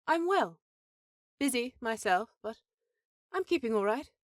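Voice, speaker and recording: A woman's voice: on the high side, lively intonation, measured pace, no echo, clean studio sound. A woman playing an affectionate, teasing elf headmistress in a scripted fantasy audio roleplay, composed and slightly formal. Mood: composed, wry. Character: powerful elf sorceress sister, affectionate, teasing, dutiful headmistress.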